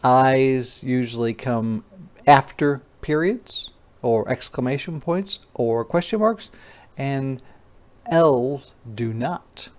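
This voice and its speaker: Very slow, repetitive early-reading teacher, sex unclear. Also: mid-range voice. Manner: deliberate, repeats sounds and words for learners; child-directed explanatory asides. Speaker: teacher reading aloud to young children. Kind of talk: interactive phonics read-aloud lesson.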